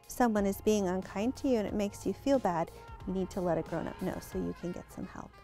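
Middle-aged woman reading to children, light music behind. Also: mid-range voice. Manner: clear, deliberate, expressive storytelling to young children. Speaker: host reading bedtime stories to children. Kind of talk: picture-book read-aloud and simple explanation for children. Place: living-room style set, indoors.

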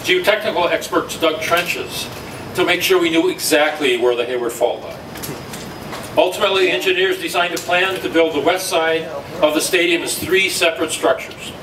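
A man giving steady explanatory remarks at a press conference. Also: on the high side, normal speed. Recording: echoey room from far off, noisy.

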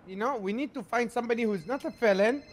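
Man playing a high, excitable, hapless crook. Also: fast, a little hiss or hum. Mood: scheming, eager. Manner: protesting, indignant, swooping emphasis. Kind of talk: improvised game role-play.

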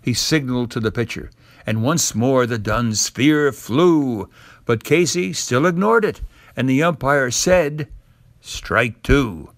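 A man reading a poem aloud as a dramatic recitation, with theatrical, measured and emphatic delivery. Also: mid-range voice, no echo. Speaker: poem reader.

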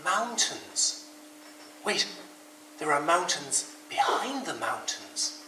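A man's voice: a little high, lively intonation, takes it slowly, reverberant, voice pushed up. Man as storybook rabbit, male, grumpy, stubborn, honest, distant from the mic, faint noise. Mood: awed. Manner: blustering when cross, hushed when awed.

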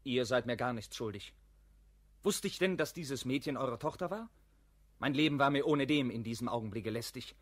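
An actor playing a proud, brusque young knight templar in a classic radio play, curt and haughty. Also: male voice, medium pitch, normal speed, a bit bright, dry recording, close to an everyday voice. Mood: proud, scornful. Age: young adult.

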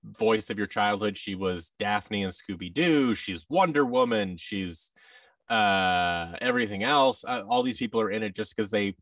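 A man in his thirties with an American accent, chatting casually in an interview with halting, restarted phrases. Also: lowish voice, animated, gravelly, fairly bright tone, no room sound, studio quality, far from the mic. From United States. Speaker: guest, voice actor and audio drama producer. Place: large office room, indoors. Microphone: headset with boom-arm microphone on a video call.

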